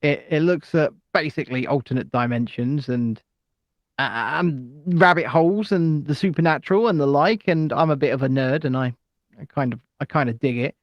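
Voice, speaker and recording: Sex: male. Role: live podcast co-host. Pitch: a touch high. Sound: dry and close. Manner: rambling, full of ums and tangents. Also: normal speed, no echo, lo-fi sound. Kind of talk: casual live chat.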